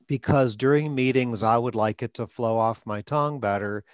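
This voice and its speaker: A man's voice: medium pitch, moderate pace, clean tone, dry recording. Guy coaching on a podcast, short phrases, sometimes catches on a word, dry sound. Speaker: stuttering coach and podcast host. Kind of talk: conversational coaching talk.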